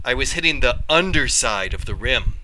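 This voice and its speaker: A man reading a children's story aloud in an expressive, animated storytelling style. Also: mid-range voice, moderate pace, a little hiss or hum, low-quality recording. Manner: animated, acts out dialogue. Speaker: reader, storyteller.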